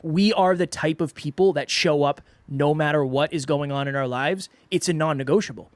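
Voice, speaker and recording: Stuffy sore-throat guy on a podcast, earnest, kinda high voice, dead dry sound. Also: moderate pace, no room sound. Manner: earnest, repeats himself while making a point. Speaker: podcast co-host. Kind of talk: casual podcast chat.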